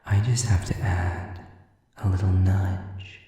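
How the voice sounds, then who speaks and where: A man playing a sadistic, contemptuous demon in an audio roleplay, whispering slowly and breathily with taunting condescension. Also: deep voice, steady tone, dark tone. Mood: gloating menace. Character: sadistic, contemptuous male demon preying on humans. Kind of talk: whispered dramatic monologue to the listener. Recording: distant, very echoey, muffled sound.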